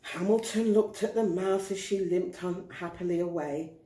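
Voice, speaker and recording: A woman's voice: on the low side, normal speed, mellow. A woman storyteller reading expressively to young children, recorded in a roomy space. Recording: roomy echo.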